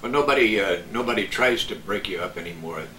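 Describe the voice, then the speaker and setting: An older man recollecting in casual chat, halting and plain-spoken. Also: fairly low pitch, animated, a loud crowd. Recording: distant mic, echoey, noisy room.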